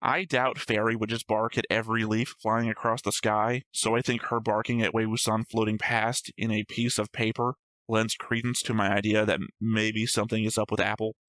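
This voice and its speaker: A man's voice: fairly low pitch, little pitch movement, moderate pace, a bit bright, no room sound, poor audio. A man co-hosting a reaction show, talking through his notes with a dry, analytical manner. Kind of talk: casual episode discussion reading own notes.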